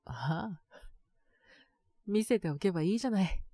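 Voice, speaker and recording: A woman playing a boyish, slightly mean but doting teenage girlfriend in a romantic audio drama, speaking in a soft, intimate, teasing way. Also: medium pitch, expressive, unhurried, no echo, clean studio sound. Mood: gentle, reassuring. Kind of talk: Japanese romantic situation voice acting.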